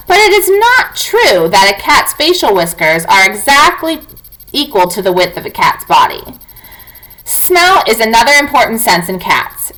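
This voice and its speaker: A woman teacher reading non-fiction aloud to young students in an expressive, clearly enunciated, instructive way. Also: mid-range voice, rough recording.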